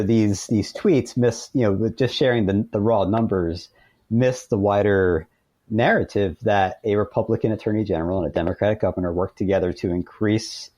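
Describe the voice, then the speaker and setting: Low-voiced, mellow American man explaining thoughtfully. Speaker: interview guest, author. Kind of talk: conversational interview, explaining with practical advice.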